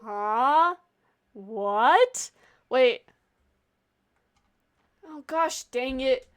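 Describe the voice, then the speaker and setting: A woman livestreaming a video game with casual commentary, playful and expressive as she talks and reads dialogue aloud. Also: high voice, extremely slow. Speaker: game streamer.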